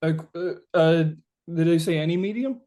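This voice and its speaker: Higher-voiced man, halting and thoughtful. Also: mellow. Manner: halting, thinking aloud, frequent "like". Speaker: interviewed musician. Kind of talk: casual interview answers.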